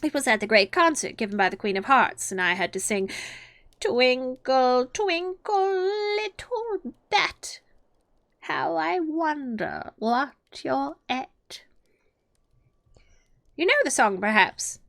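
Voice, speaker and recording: A woman voicing an excitable, theatrical male hatter with a booming, drawn-out delivery. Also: dry recording.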